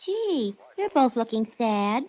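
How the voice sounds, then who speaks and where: High-pitched, naive young secretary. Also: female voice, sing-song, takes it slowly, full character voice. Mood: chirpy, curious. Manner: guileless, wide-eyed, gushing. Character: naive, chirpy, eager young female office secretary. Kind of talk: 1940s radio comedy-drama acting. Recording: muffled 1940s radio recording.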